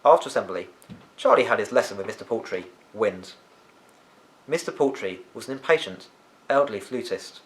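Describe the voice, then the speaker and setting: A man reading a children's story aloud as an expressive storyteller. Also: on the low side, moderate pace, poor audio. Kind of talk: animated children's audiobook narration.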